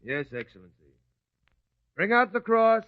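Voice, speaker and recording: A harsh Roman officer shouts commands in a high voice with wide swings. The radio play sound is dull and lo-fi. He barks every order. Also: very animated, measured pace. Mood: barking. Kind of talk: vintage radio drama acting. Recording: dull lo-fi radio drama recording.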